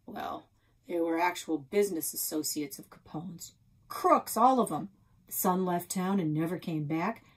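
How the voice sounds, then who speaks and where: An American woman voicing a gruff, suspicious small-town sheriff in a storybook read-aloud, folksy and pointed. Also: lowish voice.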